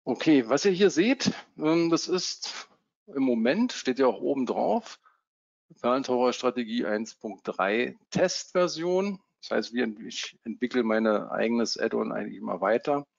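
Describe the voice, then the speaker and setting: A man in his fifties from Berlin, giving a casual live webinar as a trading software coach, with many fillers. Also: mid-range voice, lively intonation, a little slow, creaky, muffled recording. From Germany (Berlin). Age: 50s. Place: home office.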